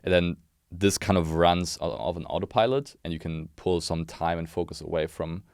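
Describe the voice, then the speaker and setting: A man's voice: deep voice, steady tone, moderate pace, a little mellow, clear, resonant tone, no echo, studio quality. A man from Berlin, hosting a casual podcast interview, moving things along with upbeat prompts and short affirmations. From Berlin, Germany. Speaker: podcast host.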